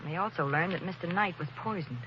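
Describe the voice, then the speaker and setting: A woman's voice: fairly low pitch, fast, highs cut off, a little hiss or hum, lightly acted. An actress playing a loyal young female companion, urgent and breathless, in 1940s radio acting style. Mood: puzzled.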